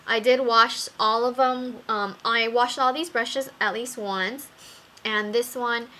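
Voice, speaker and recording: A young woman reviewing makeup brushes in a casual vlog, talking slowly with many ums while her voice wears out. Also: a little high, fairly even intonation, bright, forceful tone. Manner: slow, with many ums and fillers. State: losing her voice. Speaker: beauty vlogger.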